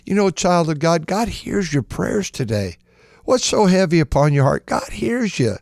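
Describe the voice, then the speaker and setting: A man with a raspy voice preaching an impassioned sermon, stammering and restarting, then surging into shouted praise. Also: a little high, sing-song, normal speed, no echo, clean studio sound. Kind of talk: fervent radio sermon.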